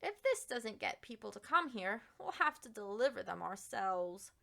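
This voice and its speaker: Woman voicing a bright, dramatic, stubborn storybook grumbler, female. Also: mid-range voice, sing-song, normal speed, gravelly, full character voice. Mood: determined. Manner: exclaiming and muttering, theatrical. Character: stubborn, grumbling woman fretting over unwanted things. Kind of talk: children's picture book character voice.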